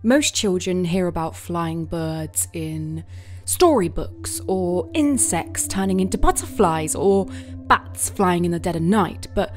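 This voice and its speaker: An actress playing an earnest young rescue pilot, narrating her monologue in an audio play with deliberate, expressive storytelling. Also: lowish voice.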